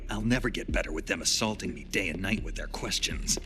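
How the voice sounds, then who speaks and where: A man voices a sardonic special-forces soldier in a game cutscene. His voice is very low, gruff and bitter, delivering dry sarcasm over clearly audible music. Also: fast talker, close to an everyday voice. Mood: bitter, wary. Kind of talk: dramatic game cutscene dialogue.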